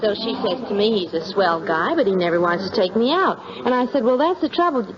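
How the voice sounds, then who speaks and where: A woman's voice: medium pitch, expressive, brisk, a crowd in the background, natural voice. An American woman acting a gossipy, indifferent young department store clerk in a chatty 1950s radio comedy style. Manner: chatty, brushes customers off by rote. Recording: muffled vintage radio recording.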